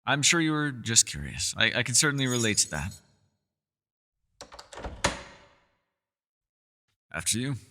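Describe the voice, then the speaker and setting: A man's voice: medium pitch, big pitch swings, fast talker, pushed and bright, long reverb tail. A man voicing a centuries-old elf scholar in an ASMR roleplay, courteous and meticulous, with formal wording and small hesitant stammers. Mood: calm, reassuring. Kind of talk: ASMR roleplay voice acting, one-sided dialogue.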